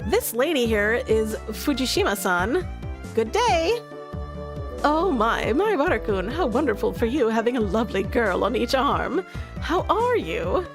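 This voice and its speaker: Woman performing chatty elderly village woman for a game, raised pitch, warm cooing manner, music underneath. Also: very animated, measured pace. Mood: delighted. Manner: cooing, fussing, friendly.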